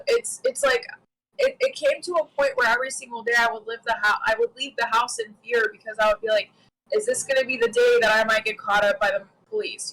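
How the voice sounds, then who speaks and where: Young woman, US-raised, higher pitch, bright and animated, casual streamer chat. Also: low-quality recording. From United States. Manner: animated, with frequent "you know" and "right?". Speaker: guest commentator. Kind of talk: casual political discussion.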